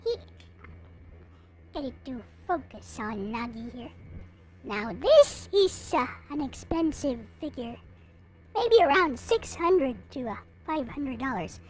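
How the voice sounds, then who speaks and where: A woman's voice: high-pitched, sing-song, takes it slowly. A woman hosting a livestream, chatting casually to viewers and replying to their comments. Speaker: livestream host. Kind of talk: casual livestream chat showing collectible figures.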